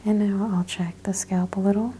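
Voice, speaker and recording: A woman whispering gently in an ASMR spa roleplay, softly and slowly describing each thing she does in a breathy voice. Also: medium pitch, steady tone, a little hiss or hum. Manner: slow, gentle, step-by-step narration of actions. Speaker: ASMR performer playing a spa practitioner.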